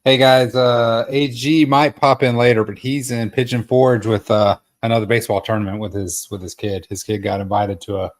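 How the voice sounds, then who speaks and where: A man's voice: medium pitch, level tone, normal speed, hardly any pauses. A man speaking informally and with slang as he hosts a casual live hangout. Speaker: live show host. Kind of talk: casual livestream chat.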